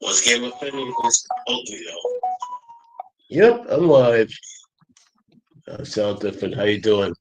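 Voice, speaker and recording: A man with a head cold hosting a casual livestream, talking in a rambling, halting way with many fillers. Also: mid-range voice, animated, faint music behind, rough recording. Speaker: livestream host.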